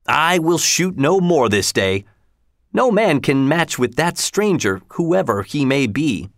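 A man's voice: fairly high pitch, very animated, takes it slowly, dry recording, studio quality, voice pushed up, full character voice. Old archer, weary, resigned, slightly raised voice, storybook, a man voicing him.

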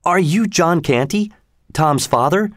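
Brief: a man doing an indignant boy prince, pitched high, slow and demanding, for a storybook. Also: lively intonation, dry recording, clean studio sound. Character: proud young prince, commanding and indignant.

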